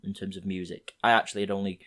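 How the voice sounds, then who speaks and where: A young man in his twenties from England, hosting a podcast and talking through a rambling solo intro in a slightly croaky voice. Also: deep voice, rapid-fire. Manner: relaxed, rambling, with frequent fillers. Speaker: podcast host.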